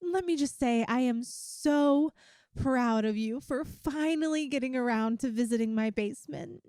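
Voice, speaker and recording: Woman doing a sweet obsessive yandere girlfriend for asmr, high soft-toned mellow voice, coy and chatty. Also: dry recording, clean studio sound. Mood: sweetly doting. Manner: coy, chatty, halting with drawn-out emphasis. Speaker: audio roleplay performer. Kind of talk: ASMR girlfriend roleplay addressed to the listener.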